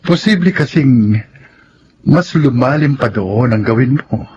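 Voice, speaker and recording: Animated male psychiatrist. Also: mid-range voice, unhurried, breathy edge. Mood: measured, reasoning. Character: reassuring, reasonable male psychiatrist advising a couple. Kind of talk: Filipino radio drama acting. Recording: dull sound with faint noise.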